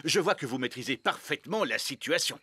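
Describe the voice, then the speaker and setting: A man's voice: mid-range voice, expressive, a little fast, sharp tone, slightly rough. An actor playing a courteous fairy king with a formal, pompous manner in a French children's cartoon. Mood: stately, approving.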